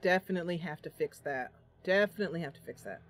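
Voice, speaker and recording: A woman's voice: lowish voice, fast talker, faint music behind. A woman giving casual, chatty let's play commentary, thinking aloud and reacting as she plays. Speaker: gaming channel host.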